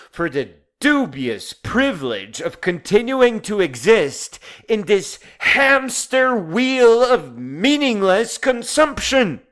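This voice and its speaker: A man's voice: a little high, a bit bright. A man delivering a dramatized poetic monologue in a solemn, grandiloquent, theatrical manner.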